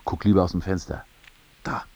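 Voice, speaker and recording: An actor playing a pushy, scheming unemployed fitter, a husky-voiced buddy talking eagerly and persuasively in a radio play. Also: male voice, deep voice, steady tone, brisk, darkish tone, no room sound, full character voice. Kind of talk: naturalistic German radio play dialogue.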